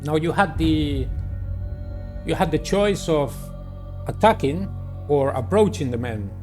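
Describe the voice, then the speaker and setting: A man doing a playthrough of a visual novel, reading it aloud and commenting in a deliberate, halting way. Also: fairly high pitch, lively intonation, mellow, faint music behind. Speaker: gamer playing and narrating a visual novel. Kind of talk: dramatic read-aloud with casual playthrough commentary.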